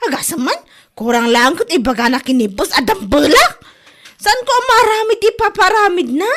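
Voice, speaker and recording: High-pitched grumbling Ilocano office woman. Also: sing-song, moderate pace, a bit bright, full character voice. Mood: indignant. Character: excitable, grumbling female office worker, gossiping friend. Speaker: actress in a radio comedy drama. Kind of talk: Ilocano comedy radio drama acting.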